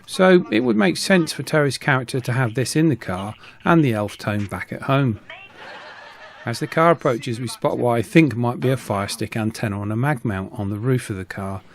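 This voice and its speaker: A man's voice: mid-range voice, lively intonation, moderate pace, vocal fry. A middle-aged man reading a scripted voice-over narration as an online video host. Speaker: YouTube host, radio hobbyist.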